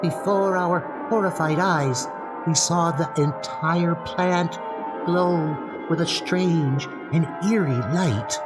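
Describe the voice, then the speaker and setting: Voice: medium pitch, takes it slowly, lightly acted, rough recording. An actor playing a solemn, distressed inhabitant of a doomed city in a dramatized comic book audio drama. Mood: grave dismay. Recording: loud music under the voice.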